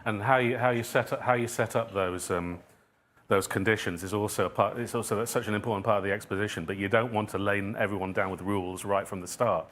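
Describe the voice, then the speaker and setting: A man's voice: fairly low pitch, fairly even intonation, fast talker, a bit bright. A man answering questions at an informal public talk as a writer, thinking aloud and often rephrasing his thoughts. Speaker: guest speaker, writer and playwright.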